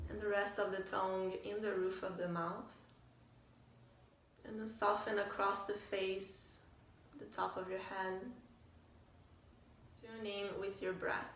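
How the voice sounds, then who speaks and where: A woman leading a guided meditation as a teacher, speaking in a calm, gentle way with unhurried phrasing and pauses. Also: medium pitch. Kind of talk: guided meditation, soft instruction. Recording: roomy, distant mic, faint noise.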